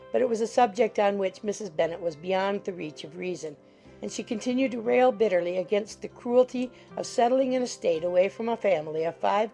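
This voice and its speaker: A woman's voice: medium pitch, vocal fry, warm tone, rough recording. A woman narrating a classic novel as a scripted audiobook.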